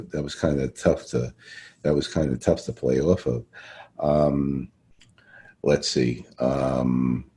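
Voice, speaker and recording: A man hosting a casual podcast interview, talking haltingly and repeating phrases as he searches for words. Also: rumbling low, dark tone.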